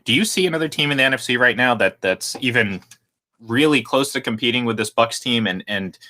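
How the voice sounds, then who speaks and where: A man hosts a live sports talk radio show, talking football with energy and laughing easily. His voice is bright and forward, at a middle pitch. He rambles through his points and restarts them often. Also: lively intonation, fairly quick. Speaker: radio host.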